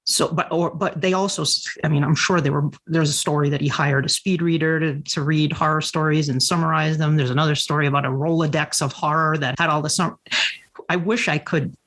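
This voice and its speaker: A man's voice: on the high side, fairly even intonation, fairly quick, a bit bright. A man hosting a casual discussion, talking like an enthusiastic fan who goes into detail. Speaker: podcast host.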